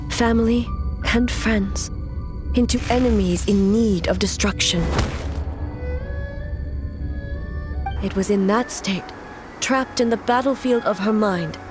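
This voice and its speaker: A woman's voice: mid-range voice, close to an everyday voice. Game shogun, played by a woman: mid-range, grave, formal, unwavering. Light music bed. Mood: solemn, grave. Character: solemn, resolute female shogun recalling an old battle. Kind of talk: scripted fantasy game dialogue. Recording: music behind the voice.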